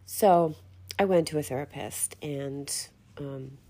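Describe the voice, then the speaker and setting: Slow, gravelly-voiced woman podcaster. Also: low voice, warm tone. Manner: rambling, self-deprecating, laughs often. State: congested, recovering from illness. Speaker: podcast host. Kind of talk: casual solo podcast monologue.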